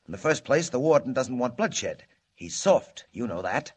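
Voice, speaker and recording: Casting: vindictive prison gang boss for vintage radio serial; calculating menace, lively pitch swings. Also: male voice, normal speed, husky. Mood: scheming. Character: cunning, vindictive male gang boss, convict ringleader. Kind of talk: 1940s radio serial acting. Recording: muffled lo-fi old radio recording.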